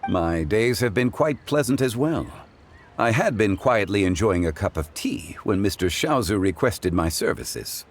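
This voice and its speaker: An actor playing a calm, ancient male consultant who speaks in a formal, measured and courteous way, in a video game. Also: lowish voice. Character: ancient, composed male consultant of refined taste.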